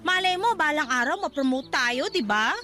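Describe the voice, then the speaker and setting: A very high-pitched scolding Filipina cousin. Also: female voice, expressive, normal speed, bright, forceful tone, soft background music. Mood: playful, practical. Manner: scolding, exclamatory, colloquial. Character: down-to-earth, scolding young Filipina cousin, practical and exasperated. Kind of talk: radio drama acting.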